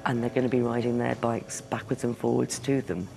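A man's voice: medium pitch, level tone, a little fast, vocal fry, over music. A man interviewed as a historian, explaining social change in a lecturing way.

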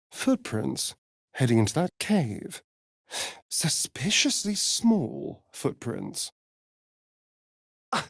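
An actor playing a sly, vain vampire rogue in a video game, with a theatrical, arch, drawling delivery. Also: male voice, high voice, creaky, a bit bright, husky, dry recording, studio quality, exaggerated voice. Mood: wry, musing.

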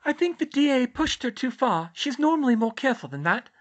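A woman's voice: sits high, very animated, a little fast, lo-fi sound, voice pushed up. A woman voicing a serene, polite and unruffled teenage girl witch in a storybook.

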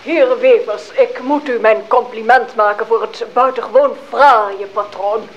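An actor playing a smooth, self-satisfied court minister with a courtly, flattering manner in a Dutch audio fairy tale. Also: medium pitch, a little slow, dull audio, slight background noise, natural voice.

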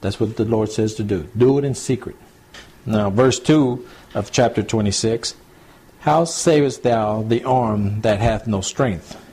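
A husky, mellow-voiced man with a drawl leads a home Bible study. He talks plainly and conversationally, circling back to repeat his points and checking in with the group. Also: lowish voice, measured pace, rough recording. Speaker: pastor. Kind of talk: home church Bible teaching. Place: small home gathering, recorded to CD.

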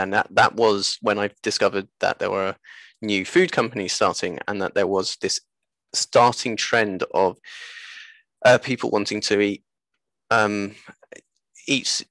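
A man in his thirties with a lowish, gravelly voice, recorded dry on a headset. He chats as an interview guest, stopping and restarting as he tells anecdotes. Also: sharp tone, dry recording, poor audio. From born in Japan, later moved away. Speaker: interview guest, seaweed business co-founder. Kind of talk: casual interview chat. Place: small room at home, video call. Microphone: headset on a video call.

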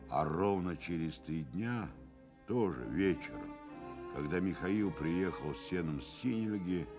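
A solemn storyteller in a Soviet radio play. His voice is deep and he takes his time, giving the village tale dramatic weight over clear music. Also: expressive, measured pace. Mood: grave. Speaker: narrator.

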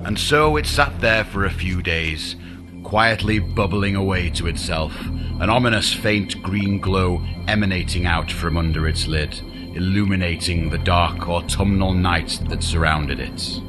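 A man reading a scripted fantasy audiobook in a dramatic, deliberate storytelling manner. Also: sits low. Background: music under the voice.

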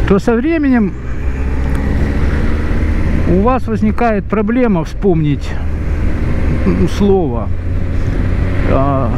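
A middle-aged man from Russia chatting casually in a vlog about riding, rambling with many filler sounds and restarts. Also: high-pitched. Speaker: motorcycle vlogger. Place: outdoors on a ride, wind and traffic. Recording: dull lo-fi sound with clear wind and road noise.

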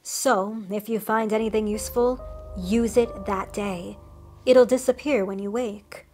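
A woman's voice: medium pitch, fairly even intonation, a little slow, darkish tone, faint music behind, natural voice. Woman voicing Glenn, a chatty friendly cryptid. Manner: chatty, reassuring, wry asides. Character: friendly rotting male woodland cryptid, chatty, ancient. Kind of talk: scripted storytelling monologue.

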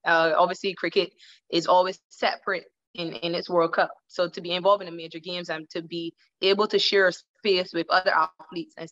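Low-voiced woman on a dull video call. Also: a bit bright. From Barbados. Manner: thoughtful, repeats words while searching. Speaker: cricketer guest. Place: indoor room at home.

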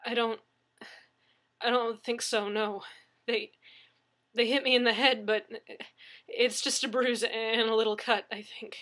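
A woman voicing a frightened, crying man in an audiobook story, breathless, halting and pleading. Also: fairly high pitch, pushed and bright, husky, full character voice. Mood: shaky, halting. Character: terrified, sobbing man pleading after an assault.

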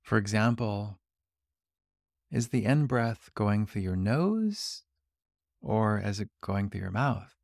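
Male meditation guide: lowish, creaky voice, calm and gentle delivery, leaving long pauses between instructions. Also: no echo, clean studio sound. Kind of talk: guided body scan meditation.

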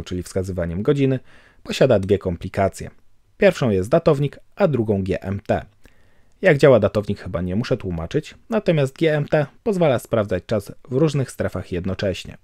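A man giving a calm, measured voice-over for a watch review, explaining technical details. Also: fairly low pitch, no echo, clean studio sound.

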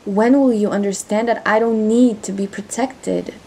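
A woman's voice: medium pitch, fairly even intonation, moderate pace, creaky, warm tone, husky. A woman playing a proud, hurt female pirate captain in a dramatic audio roleplay, guarded and intense. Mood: angry, hurt.

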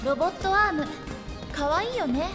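High-voiced, playful young woman. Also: lively intonation, a little fast. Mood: bright, teasing. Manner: playful, teasing, breezy. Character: cheerful, playful young woman, flower seller and guide. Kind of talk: Japanese video game voice acting. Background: background music.

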